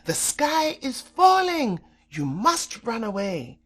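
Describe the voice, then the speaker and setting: A woman's voice: a little high, wildly swooping pitch, slow pace, slightly rough, exaggerated voice. A woman voicing a clever, bossy but helpful male trickster spider in a storybook, with urgent, emphatic delivery. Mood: urgent pleading.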